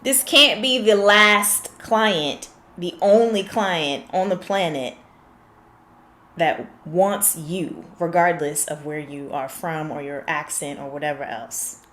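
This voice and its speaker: A woman's voice: low voice, takes it slowly, a bit bright, reverberant. A woman confidence coach in a one-on-one session, explaining with examples and asking probing questions.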